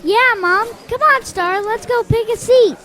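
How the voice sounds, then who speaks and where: High-pitched, excitable young boy played by a woman. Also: unhurried. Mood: eager, excited.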